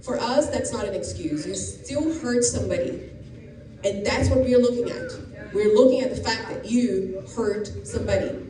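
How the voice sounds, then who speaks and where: A woman's voice: fairly high pitch, moderate pace. Woman, middle-aged, from Brazil, police officer addressing teens, explanatory, distant roomy noisy recording. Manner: explanatory, frequent restarts and fillers. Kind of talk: informal talk to students. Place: school classroom or gym. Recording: echoey room, distant and noisy.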